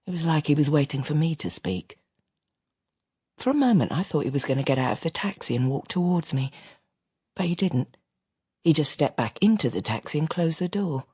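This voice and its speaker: A man's voice: a little high, very animated, moderate pace, warm tone, breathy edge, dry recording, studio quality, heavily acted. An actor playing a reflective grown son, confiding wistful memories of an absent father in an intimate radio drama monologue. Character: reflective adult son recounting his estranged father.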